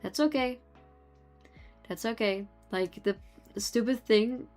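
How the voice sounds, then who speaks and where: A woman's voice: fairly high pitch, takes it slowly, a little mellow, soft background music. A young woman from the Netherlands with a Dutch accent, chatting casually with her stream while she draws, often laughing and trailing off mid-sentence. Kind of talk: casual chat with chat while drawing.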